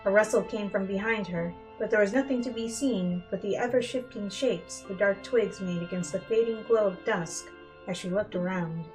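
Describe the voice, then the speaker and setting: Female storybook narrator, mid-pitched, dramatic reading style, soft instrumental music underneath. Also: normal speed, low-quality recording. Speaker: fan fiction reader. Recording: music bed behind the voice.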